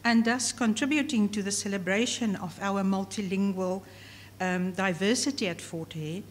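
A middle-aged woman whose first language is Afrikaans, giving a formal academic lecture from a prepared text at a podium. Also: mid-range voice. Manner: measured, read from a prepared text at a lectern. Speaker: professor giving inaugural lecture. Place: large hall, at a podium. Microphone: podium microphone. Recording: long hall reverb, faint room noise.

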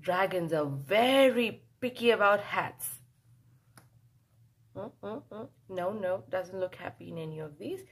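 A woman reading a picture book aloud to children, expressive and playful, drawing out key words for emphasis. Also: medium pitch, a little slow, breathy edge. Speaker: children's storyteller.

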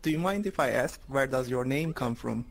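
A man's voice: medium pitch, expressive, normal speed, creaky. A man from Turkey chatting casually in a virtual reality meeting through a headset microphone. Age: adult parent. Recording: noisy headset voice chat.

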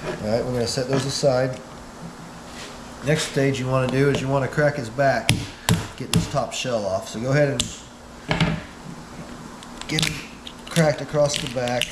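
A man's voice: mid-range voice, fairly even intonation, a little slow, long pauses. A man in his thirties, a fishing channel host, giving a casual step-by-step demonstration with lots of "uh" fillers, recorded by a camera several feet away in a small bait room. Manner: easygoing, many "uh" fillers, step-by-step. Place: small indoor bait room, hard walls. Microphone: wide camera several feet away. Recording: roomy echo, clear background hum.

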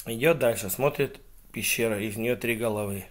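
A man's voice: mid-range voice, moderate pace. A man casually telling a fairy tale to his pets, speaking near a phone in a room at home. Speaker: pet owner telling a story. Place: small bedroom indoors. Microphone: handheld phone at close range.

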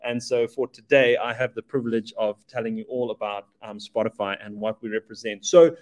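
A man's voice: on the low side, steady tone, a little fast, a little mellow. A man from Zimbabwe, a team lead giving a casual business presentation over a video call, chatty and self-deprecating, with many fillers.

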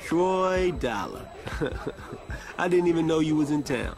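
Smooth, streetwise hip-hop label boss. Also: male voice, fairly high pitch, expressive, takes it slowly, over music. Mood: placating, assertive. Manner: slangy, smooth, street-talking. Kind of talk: video game cutscene acting.

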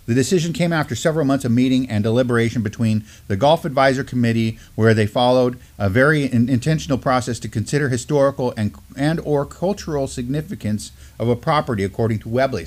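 A man's voice: mid-range voice, lively intonation, brisk, hardly any pauses. A middle-aged man with an American accent co-hosts an informal news discussion, seated at a desk in a small studio. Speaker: co-host of a Native news live stream. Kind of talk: informal news discussion, partly reading articles aloud.

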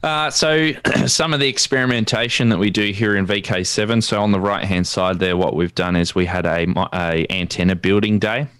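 An Australian man giving an informal technical presentation in a halting, explanatory way. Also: on the low side, fairly even intonation, a bit bright, clear, resonant tone, dry recording. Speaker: guest presenter, amateur radio enthusiast. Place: indoors at a desk, video call.

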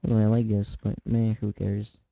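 A man from Belgium chatting casually while roleplaying a police officer in a game, relaxed and rambling with lots of fillers. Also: lowish voice, moderate pace, gravelly, dull audio, a little hiss or hum.

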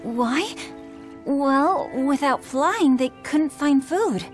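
A woman voicing an earnest, spirited teenage village huntress in a video game, expressive in her delivery. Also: high voice, extremely slow, full character voice. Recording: music under the dialogue.

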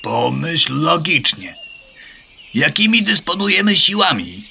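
An actor playing an anxious, loyal male farmyard bird in a children's radio play, earnest and cautious, with a gravelly, strained voice. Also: fairly high pitch, very animated, unhurried, heavy background noise, full character voice. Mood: worried.

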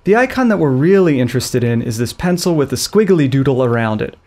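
A man in his thirties walks viewers through a gadget setup in a loose, jokey way. He speaks at an ordinary pitch, close to a studio boom microphone in a small studio. His asides are playful and silly. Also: very animated, quick pace, a little mellow. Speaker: video tutorial host. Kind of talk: loose, jokey tech tutorial. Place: small studio with a blue backdrop. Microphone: studio mic on a boom arm, close.

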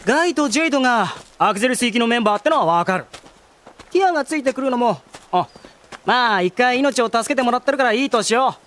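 Anime drama casting: petulant young nobleman, high-pitched, swinging intonation, rough slangy talk. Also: lively intonation, fast talker, a bit bright. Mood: grumbling. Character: spoiled, hot-headed, sulky teenage noble boy. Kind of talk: Japanese anime audio drama acting.

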